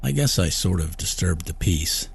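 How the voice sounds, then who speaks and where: A man's voice: sits low, animated, moderate pace, gravelly, slightly rough, exaggerated voice. A man reading a short story as a panicked, desperate small-town store owner, pleading and rattled. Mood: nervous, placating. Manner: frantic shouting, pleading, later shaky and weary. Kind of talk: audiobook character acting.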